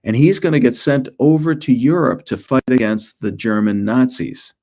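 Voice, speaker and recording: A man's voice: mid-range voice, moderate pace, darkish tone. A middle-aged man, an author, presenting informally to schoolchildren in short storytelling phrases with conversational asides.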